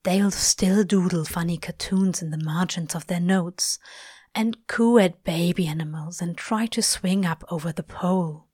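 A gruff but tender male demon from a storybook, acted by a woman narrator. He mutters slowly in a hushed, breathy murmur, with a cynical edge, close and dry. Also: lowish voice, no room sound. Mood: tender, sorrowful. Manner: slow, muttering.

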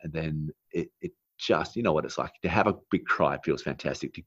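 A man raised in Australia after a New Zealand childhood, speaking as an interview guest in an earnest, halting way with many fillers. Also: rumbling low, breathy edge, no echo, lo-fi sound. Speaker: guest, naturopath. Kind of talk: casual interview chat.

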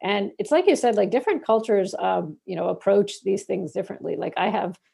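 A woman's voice: mid-range voice, a little fast, gravelly, warm tone, husky. A young woman from Bangladesh, a researcher, answering interview questions in a rambling way full of fillers and restarts.

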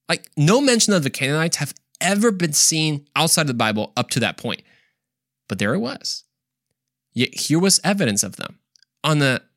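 A man's voice: on the high side, fairly bright tone, dry recording, studio quality. A man hosting a podcast, telling stories casually and conversationally in a playful, joking manner with exclaimed asides. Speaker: podcast host. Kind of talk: casual, conversational storytelling to listeners.

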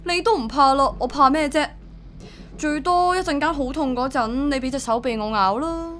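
A woman's voice: high voice, normal speed, a bit bright. A woman acting a burdened, devoted young single mother in a radio drama, emotive and confiding, with a Hong Kong Cantonese accent. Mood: playful, teasing.

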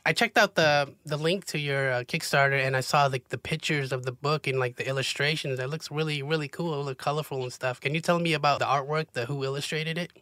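Bright, tense-voiced male interviewer. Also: a little high, fairly even intonation, a little fast, few pauses, dry recording, studio quality. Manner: friendly, enthusiastic, uh-filled questions. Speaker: interviewer, host. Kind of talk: casual interview.

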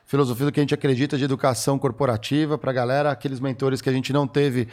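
A man co-hosting a podcast, chatting in a relaxed, agreeable and informal way. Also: medium pitch, racing. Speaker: podcast co-host. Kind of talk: casual podcast chat.

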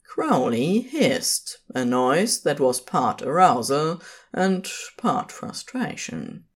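A woman narrates fiction in a low voice. She takes her time over each phrase, and her intonation rises and falls widely in a dramatic storytelling style. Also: very animated, slow pace, husky.

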